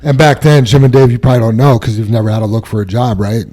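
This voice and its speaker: A man's voice: mid-range voice, steady tone, fast talker, warm tone. A man hosting a casual podcast, telling stories and dad jokes with a ready laugh. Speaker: podcast host. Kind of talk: casual chat with storytelling.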